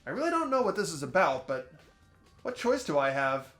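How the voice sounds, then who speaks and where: A man's voice: a little high, very animated, brisk, fairly bright tone. A man playing an earnest, mild-mannered teenage schoolboy in a game voice-over, hesitant and questioning. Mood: earnest reasoning. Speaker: amateur voice actor. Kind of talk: fan voice-over of a visual novel game. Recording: game music under the voice.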